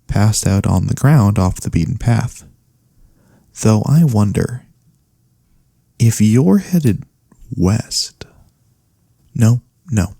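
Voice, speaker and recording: A man playing a gentle, caring elf cleric in a soft-spoken ASMR fantasy roleplay, soothing and attentive, with slightly formal phrasing. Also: low voice, gravelly, breathy edge, no echo, clean studio sound, exaggerated voice. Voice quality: soft-spoken, breath-light delivery. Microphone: close studio USB condenser microphone.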